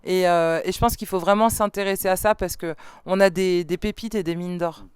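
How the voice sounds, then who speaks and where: A woman's voice: fairly low pitch, steady tone, fairly quick, a little mellow, clear, resonant tone, dry recording. A woman singer answering interview questions in a thoughtful, hesitant way, with many fillers and restarts. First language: French. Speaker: band singer and songwriter. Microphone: studio microphone.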